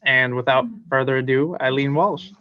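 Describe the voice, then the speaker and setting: A man's voice: medium pitch, normal speed, no echo, lo-fi sound. A man hosting a library program, introducing a speaker in a casual way with many ums and uhs. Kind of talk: event introduction.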